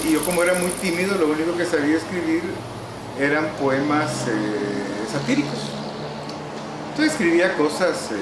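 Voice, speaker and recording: A Salvadoran man in his sixties tells stories of his past in an unhurried, wry tone, filling gaps with "eh". He sounds like he is sitting in a hard, echoey room, and a steady hum carries under his voice throughout. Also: on the high side. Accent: Salvadoran Spanish. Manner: unhurried, wry, frequent "eh" pauses. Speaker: novelist interviewee. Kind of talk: relaxed reminiscing interview answers.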